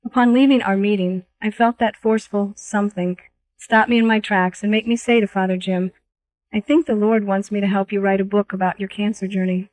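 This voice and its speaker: A woman's voice: medium pitch, fairly even intonation, fairly quick, darkish tone, low-quality recording. A woman reading a scripted, first-person audiobook introduction as its author.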